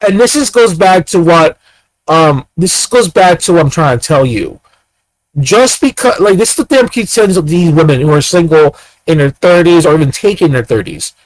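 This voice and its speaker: A man's voice: on the high side, animated, normal speed, no echo, low-quality recording. A man in his thirties giving ranting commentary, firing off repeated rhetorical questions. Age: 30s. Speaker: commentator reacting to clips.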